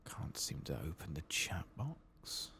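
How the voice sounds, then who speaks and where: A soft-spoken man doing an ASMR game playthrough, hushed and sometimes whispering, musing in a reflective, hesitant way as he plays, close to the microphone. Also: extremely low pitch, wildly swooping pitch, slow pace, gravelly. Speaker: ASMR gaming video host.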